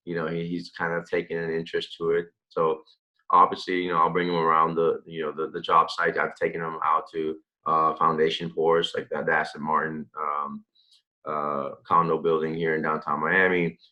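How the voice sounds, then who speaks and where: A man in his thirties from the Dominican Republic, answering interview questions in a relaxed, rambling way with many fillers and restarts. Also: rumbling low, normal speed, lo-fi sound. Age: 30s. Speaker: interview guest, real estate developer.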